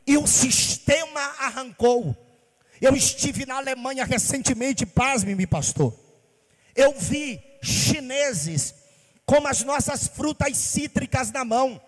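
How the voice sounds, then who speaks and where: A pastor from Bahia preaching with passion, asking rhetorical questions in a sing-song cadence. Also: male voice, very high-pitched, lively intonation, slow pace, long reverb tail. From Bahia, Brazil. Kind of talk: sermon.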